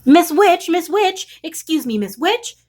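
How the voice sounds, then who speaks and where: A woman's voice: very high voice, very animated, a little slow, darkish tone, voice pushed up, heavily acted. A woman voicing a hapless, polite storybook prince who pleads and gets flustered. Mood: flustered, pleading. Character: hapless, polite, whiny male fairy-tale frog prince.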